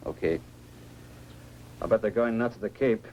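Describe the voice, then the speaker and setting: A man's voice: fairly low pitch, normal speed, darkish tone, lightly acted. A man acting a concerned, questioning space base colleague in a 1950s science fiction film. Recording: noisy old film soundtrack.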